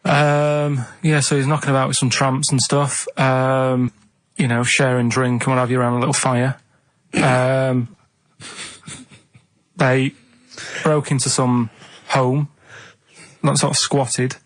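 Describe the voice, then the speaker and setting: A man telling a comic story casually on a radio show, in a rambling, vague and halting way. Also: medium pitch, little pitch movement, no room sound.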